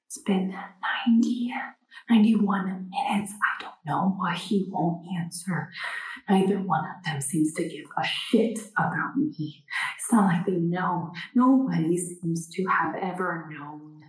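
Young woman actress in audio drama, echoey, slow and brooding, bitter, kinda obsessed and coming apart. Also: lowish voice, close to an everyday voice. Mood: desperate, anxious. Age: young adult. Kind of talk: found-footage audio drama monologue. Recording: roomy, echoing sound.

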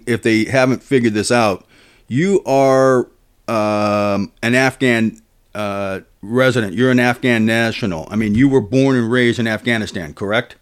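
A man hosting a podcast, asking long, rambling questions full of fillers. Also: mid-range voice. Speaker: podcast host. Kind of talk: casual podcast interview.

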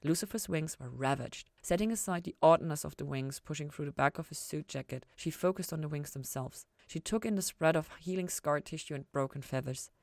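A woman narrates scripted fiction. Her voice sits low for a woman. The sound is dry, with almost no room around it. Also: fairly quick, vocal fry, few pauses, a little mellow, no room sound. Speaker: fan fiction reader, podcast host.

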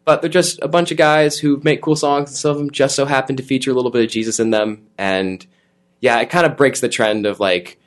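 A man's voice: medium pitch, brisk. Easygoing young guy, lots of ums, casual chat. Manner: relaxed, hesitant, lots of fillers. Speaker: student panelist.

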